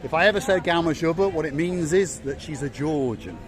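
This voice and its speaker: Welsh guy rambling outdoors at a harbour, bit high voice, loud music going behind. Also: brisk. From Wales. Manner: chatty, jokey, repeats words for emphasis. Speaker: vlog host. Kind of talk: casual walking tour commentary.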